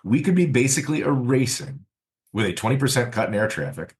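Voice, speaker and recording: A man hosting a podcast, talking analytically and building his points in a measured way. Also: mid-range voice, big pitch swings. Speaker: podcast host.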